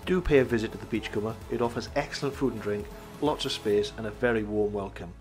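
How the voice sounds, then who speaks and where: A man reading a scripted campsite review voice-over in an even, measured way. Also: on the low side, soft background music.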